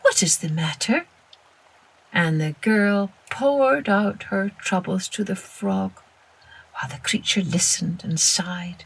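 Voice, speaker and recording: A woman narrating a children's story in an expressive, dramatic storytelling manner. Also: mid-range voice, slow, creaky, slightly rough, low-quality recording. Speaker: storyteller, podcast host.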